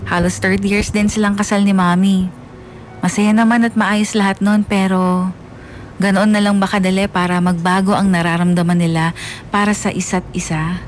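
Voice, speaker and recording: A hurt, resentful young Filipino narrator of a confessional radio drama, voiced by a woman. The delivery is plaintive and reflective at a medium pitch, over a faint music bed. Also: flat delivery, normal speed, natural voice. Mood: hurt, bitter. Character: hurt, resentful child telling of parents' separation.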